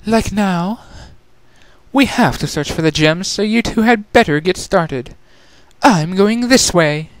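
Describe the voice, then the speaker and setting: A man's voice: sits high, animated, slow pace, no room sound, voice pushed up. A man voicing a smug, bossy young female creature with a haughty, commanding manner in a story reading. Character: smug, bossy young changeling sister, brisk and competitive.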